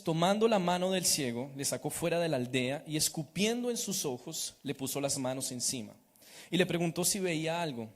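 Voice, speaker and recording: Guy interpreting the preacher, higher voice, long hall echo. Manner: renders each phrase right after the preacher. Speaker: interpreter and scripture reader. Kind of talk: live sermon interpretation and Bible reading.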